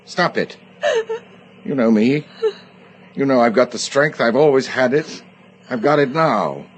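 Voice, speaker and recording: A man's voice: medium pitch, expressive, measured pace, natural voice. An actor in a radio drama playing a guilty, domineering man with an intense, stammering manner. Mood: frantic. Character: guilty, agitated man hiding a killing, domineering yet pleading. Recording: radio drama recording with noisy ambience.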